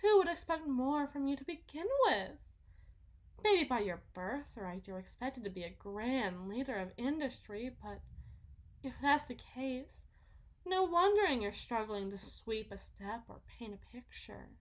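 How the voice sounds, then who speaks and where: A woman playing a delusional, condescending, possessive wealthy mother in a theatrical ASMR roleplay, phrasing everything in a drawn-out, deliberate way. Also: on the high side, expressive. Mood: patronizing, sweetly belittling. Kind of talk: ASMR roleplay, dramatic monologue.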